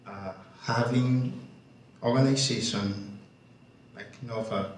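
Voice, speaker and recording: Slow-spoken Kenyan man in echoey hall. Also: medium pitch, steady tone, a little hiss or hum. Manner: slow, thoughtful, halting. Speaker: panelist, farmer. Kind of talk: panel discussion remarks. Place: large wooden barn hall, indoors. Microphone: handheld mic, far camera. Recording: echoey barn from far off.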